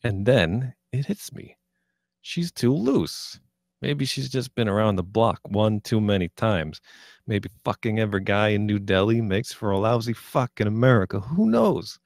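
A man playing a crude, cocky, domineering poker boss in a script table read, with a swaggering, deliberate delivery. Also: on the low side, animated, vocal fry, darkish tone, no room sound, clean studio sound. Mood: smug, crude.

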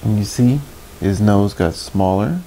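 A man's voice: fairly low pitch, a little slow, dark tone, rough recording. A man in his thirties reading a picture book expressively to children, with asides to the listeners. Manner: slips in asides and questions to young listeners. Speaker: children's story reader, host. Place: small indoor room. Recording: noticeable background noise.

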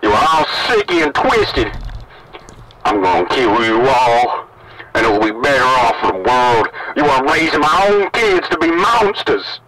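A man's voice: medium pitch, big pitch swings, measured pace, over a noisy crowd. A man playing a distraught, furious father in a found-footage horror monologue, bitter and accusing, on a crackly old cassette tape. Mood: furious, threatening.

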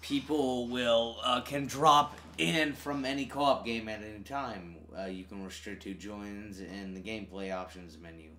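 A man's voice: fairly low pitch, a little slow, few pauses, sharp tone, reverberant. A man hosting a gaming video with casual co-op commentary, sometimes reading game text aloud.

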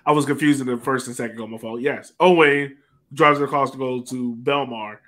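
A man's voice: medium pitch, fairly even intonation, brisk, pushed and bright. A man hosting a casual sports chat over a video call, conversational and now and then searching for words. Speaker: podcast host. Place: indoor room, remote video call. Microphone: video call microphone.

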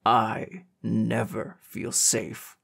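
A man's voice: mid-range voice, unhurried, gravelly, slightly rough. A man voicing an angry, hardened teenage boy, bitter and wounded, with a growling, demanding delivery in an audiobook.

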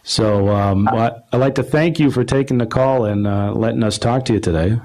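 A man interviewing a guest for a club meeting in an informal, halting, stammering way. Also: mid-range voice, darkish tone.

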